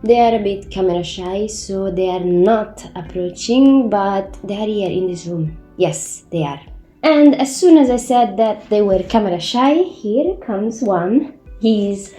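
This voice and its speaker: A woman's voice: mid-range voice, lively intonation, unhurried, mellow, echoey room, soft background music. A woman, a native Italian speaker, hosting her own channel in casual chat, sounding congested from a cold, chatty and self-correcting. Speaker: Sims builder, channel host. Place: room at home. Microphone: new microphone.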